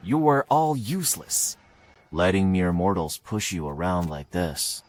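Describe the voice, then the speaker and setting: A very deep-voiced supernatural overlord, voiced by a man from an anime motion comic. He is commanding and full of scorn. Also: unhurried. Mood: contemptuous.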